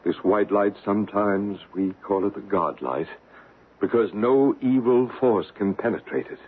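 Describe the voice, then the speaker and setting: An actor playing a calm, authoritative paranormal investigator in a vintage radio drama, speaking in a measured, probing way. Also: male voice, fairly low pitch, slightly rough. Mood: calm, instructive. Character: calm, authoritative male paranormal investigator narrating his case. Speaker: psychic investigator and narrator. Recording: noisy, narrow-band old radio broadcast.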